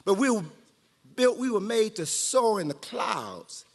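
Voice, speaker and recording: A man's voice: sits high, sing-song, unhurried, full character voice. A man voicing a proud, wise eagle leader from a storybook, rallying its kin with bold, preacher-like conviction. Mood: proud, preaching. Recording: echoey hall with long reverb.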